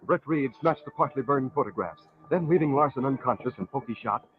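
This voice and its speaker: A man's voice: medium pitch, animated, a little fast, loud music behind the voice. A man narrating a 1940s-style radio adventure drama from a script. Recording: narrow, muffled old radio broadcast.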